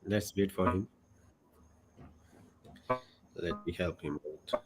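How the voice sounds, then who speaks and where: A man hosting a video call Q&A, unhurried with hesitant fillers. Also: deep voice, steady tone, over loud music. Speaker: host.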